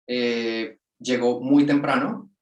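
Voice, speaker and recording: A man, a guest on a webinar, talking in a relaxed, colloquial way over a video call. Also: mid-range voice, slow pace, dull audio. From Colombia. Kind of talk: casual webinar interview. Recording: roomy sound from off the mic.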